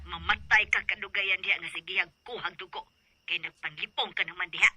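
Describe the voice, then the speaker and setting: A worried mother, played by a woman in an audio drama, shouting down a phone line with a sharp, bright edge. she scolds and urges in a raised voice, as if to be heard from far away. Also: lowish voice, fairly even intonation, a little fast, breathy edge, pitched below the performer's own voice, heavily acted. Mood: scolding. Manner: loud, scolding, urgent. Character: worried middle-aged mother calling by phone.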